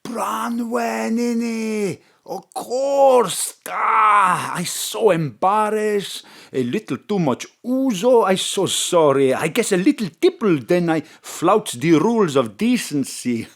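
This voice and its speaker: A woman's voice: medium pitch, expressive, slow pace, gravelly, full character voice. A woman voicing a lovesick middle-aged chip shop owner in broken English, theatrical and effusive. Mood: embarrassed. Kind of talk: comic radio drama.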